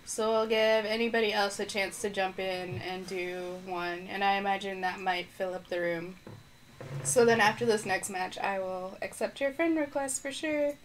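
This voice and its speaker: A woman's voice: medium pitch, steady tone, normal speed, slight background noise. A woman streaming a video game live, chatting casually as she thinks aloud and responds to viewers, with her microphone placed on the floor. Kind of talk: live gameplay stream commentary.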